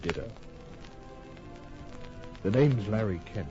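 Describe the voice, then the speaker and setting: A wry, hard-boiled private eye tells his case in clipped, cool phrases. His voice sits low, in old-time radio crime style, on a muffled recording with music behind it. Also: lively intonation, slow pace. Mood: smooth, flirtatious. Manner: laconic, cool, clipped storytelling.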